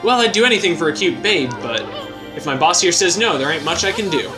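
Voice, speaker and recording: A man's voice: high-pitched, animated, quick pace. A man voicing a brash, cocky tiny male wandering artist who talks rudely and boasts. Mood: sarcastic. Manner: rude, wisecracking, boastful. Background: game music.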